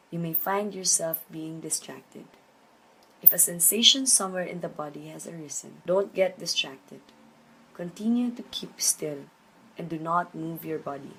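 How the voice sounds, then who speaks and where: A woman leading a guided meditation, giving measured instructions with pauses between phrases. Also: sits low, fairly bright tone, breathy edge, poor audio. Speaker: meditation teacher.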